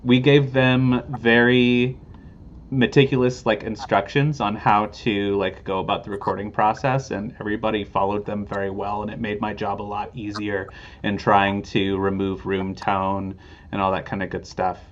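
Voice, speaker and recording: Lower-voiced man on a dull, lo-fi stream. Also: level tone, moderate pace. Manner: appreciative, informal. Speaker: audio producer for a theater. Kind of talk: casual unscripted thanks. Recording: dull lo-fi stream, faint noise.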